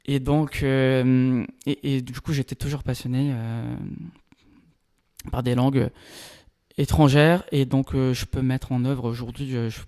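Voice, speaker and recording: Guest lawyer, thirties, from Brazil, Portuguese. Mellow, measured, pauses to find words. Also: male voice, mid-range voice, little pitch movement, clear, resonant tone. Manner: measured, explanatory, searching for words. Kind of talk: casual interview talk.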